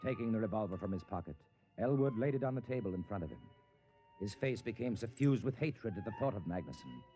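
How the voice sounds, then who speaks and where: A man with a fairly low voice narrates a vintage radio drama. The old recording is dull, and music plays clearly behind him. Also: expressive, fast, warm tone.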